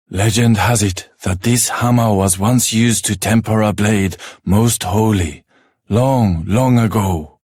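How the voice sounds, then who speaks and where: Fantasy game swordsmith played by a man: lower-pitched, husky, humble and earnest, with formal, reverent, measured phrasing. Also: a little mellow, no room sound, studio quality, natural voice. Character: earnest, humble exiled swordsmith turned village shopkeeper, male. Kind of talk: dramatic fantasy video game voice acting.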